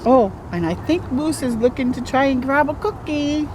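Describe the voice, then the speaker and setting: A woman reading a children's picture book aloud as a storytime host, expressive and chatting to young listeners. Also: high voice, normal speed, noisy background. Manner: expressive, interjects comments and questions to young listeners.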